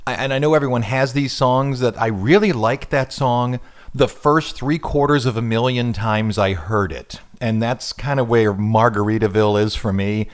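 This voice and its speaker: A man's voice: mid-range voice, moderate pace. A man hosting a radio music show in casual chat, joking and rambling with self-deprecating asides.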